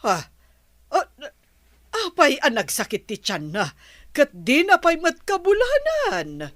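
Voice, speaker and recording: An actress playing a concerned, indignant grandmother-to-be in a radio drama, expressive and exclaiming. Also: high voice, takes it slowly, no echo, studio quality, full character voice.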